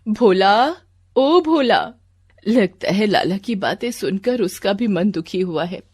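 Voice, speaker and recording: A woman's voice: mid-range voice, lively intonation, takes it slowly, darkish tone, husky, no echo, studio quality. A woman voicing a poor village mother in a storybook tale, tender, anxious and pleading. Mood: distressed.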